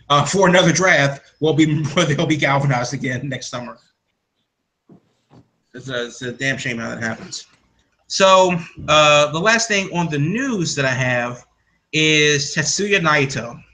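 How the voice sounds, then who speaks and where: A man's voice: fairly high pitch, animated, a little slow, sharp tone, slight background noise. A man hosting a casual podcast, rambling through news with many fillers and restarts. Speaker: podcast host. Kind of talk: casual wrestling news chat.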